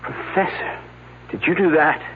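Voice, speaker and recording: Flustered, husky young scientist. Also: male voice, fairly high pitch, unhurried. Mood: flustered, apologetic. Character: naive, flustered male junior scientist, meek and sincere. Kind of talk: radio drama acting. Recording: muffled old radio broadcast.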